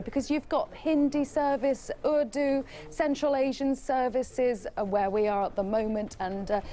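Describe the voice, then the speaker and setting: A woman news presenter walking through a large newsroom, talking brisk and conversational live to camera. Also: medium pitch, warm tone. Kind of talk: live walkabout news report. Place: large open-plan newsroom.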